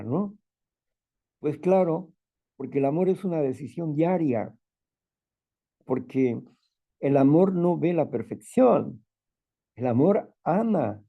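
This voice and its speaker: A man with a strong, loud voice who sounds stern without being angry, leading a spiritual talk and prayer. He repeats phrases and often checks in with "¿no?" and "¿verdad?". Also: medium pitch, creaky. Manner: fervent prayer calling on the Father. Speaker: group leader.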